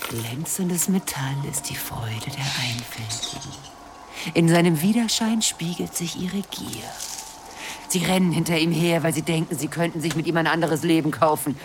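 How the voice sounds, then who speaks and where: A woman's voice: deep voice, moderate pace, background noise, lightly acted. An actress playing a sly, taunting witch in a radio play, theatrical and cryptic, sliding into whispers. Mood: cryptic, scornful. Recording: loud music under the voice.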